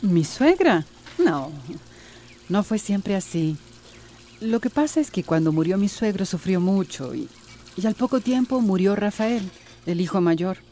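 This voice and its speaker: An actress playing a kindly, sensible housewife in a radio drama, with a Central American accent. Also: female voice, mid-range voice, expressive, a little slow, mellow, a little hiss or hum, lightly acted. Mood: gentle, reflective. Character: kindly, sensible pregnant daughter-in-law running a household.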